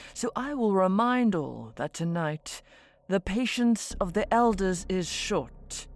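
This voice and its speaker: A woman playing a stern, ancient vampire elder in an audio drama, formal and commanding. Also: on the low side.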